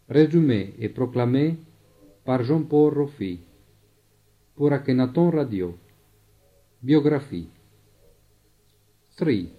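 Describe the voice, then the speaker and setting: A man reading and chanting a repetitive sound poem for radio. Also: mid-range voice. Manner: steady incantatory repetition. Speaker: radio reader. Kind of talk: sound poetry performance. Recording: tinny phone-like sound.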